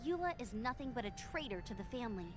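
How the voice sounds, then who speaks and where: Peppy young scout knight girl, anime game, high n bouncy voice, noisy game ambience. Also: sing-song, fast. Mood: earnest explaining. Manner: bright-spirited, encouraging, earnest. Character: perky, upbeat, helpful young female knight scout.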